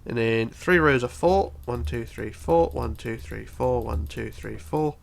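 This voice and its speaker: A man's voice: mid-range voice, measured pace, creaky. A man with a bunged-up, congested voice giving a casual step-by-step building tutorial, counting aloud methodically. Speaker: tutorial host.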